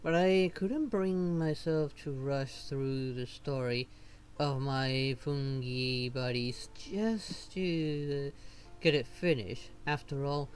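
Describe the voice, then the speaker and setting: A streamer voicing a weary, earnest female novelist from a fantasy game in a halting, formal way. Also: mid-range voice, soft background music, natural voice. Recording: noticeable background noise.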